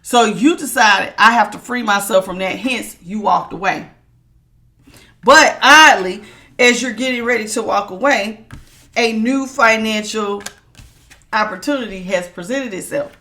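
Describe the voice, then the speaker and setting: A woman with a bright, projecting voice. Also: medium pitch, a little slow. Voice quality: strong, projecting voice. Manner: emphatic and authoritative, repeats phrases for stress. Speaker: tarot reader. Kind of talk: casual tarot reading to viewers.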